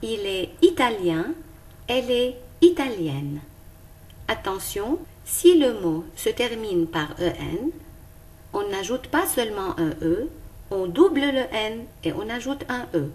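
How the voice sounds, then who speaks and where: Slow, animated teacher woman. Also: mid-range voice. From Lebanon. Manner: slow, careful and clearly articulated. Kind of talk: recorded lesson for young pupils.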